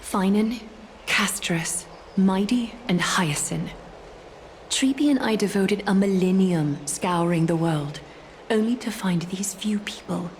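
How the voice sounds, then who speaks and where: A woman playing a composed, grave ancient leader of heroes in a video game, speaking formally and with measured care. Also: medium pitch, a little hiss or hum, lightly acted. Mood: solemn. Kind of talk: scripted video game acting. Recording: music behind.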